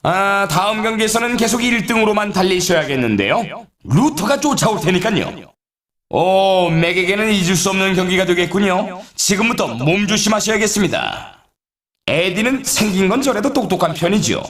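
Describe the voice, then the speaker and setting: A man voicing an excitable snowboard race announcer in a video game, with polished, showy, emphatic commentary. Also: high-pitched, normal speed. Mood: teasing, warning.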